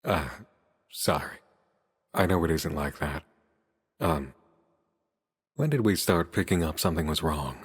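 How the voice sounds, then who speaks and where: A man playing a troubled, defiant man trapped in a story, with intense, halting, demanding delivery in a dramatic visual novel style. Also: extremely low pitch, breathy edge, heavily acted. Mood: confused, uneasy.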